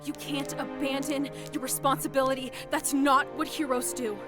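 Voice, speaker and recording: An actress playing an indignant, pleading guest in an audio drama, urgent and accusing. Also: female voice, sits high, flat delivery, moderate pace, music in the background, close to an everyday voice. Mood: hurt, accusing.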